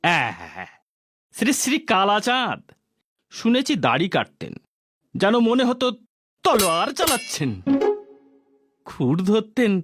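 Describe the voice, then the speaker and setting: A man's voice: high-pitched, wildly swooping pitch, measured pace, pauses often, fairly bright tone, slightly rough, faint music behind, exaggerated voice. A man voicing a boastful, rambling, servile royal barber in a comic cartoon, obsequious and bragging. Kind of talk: Bengali comic cartoon voice acting.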